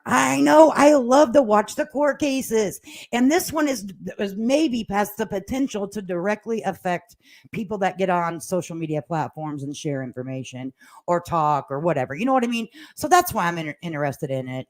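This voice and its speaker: A woman's voice: medium pitch, animated, moderate pace, a little mellow. Folksy, sassy older woman chatting casually. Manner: folksy, sassy, plain-spoken, slangy. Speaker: livestream host. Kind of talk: casual livestream commentary chat, reading viewer comments aloud. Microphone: standalone microphone at a laptop.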